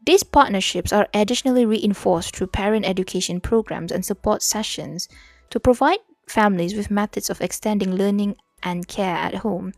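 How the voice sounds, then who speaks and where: A woman's voice: medium pitch, moderate pace, darkish tone, no room sound. A young Malaysian woman with a Malaysian accent, chatting casually on a study stream, full of fillers, switching between English and Malay, sometimes reading her notes aloud. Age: young adult.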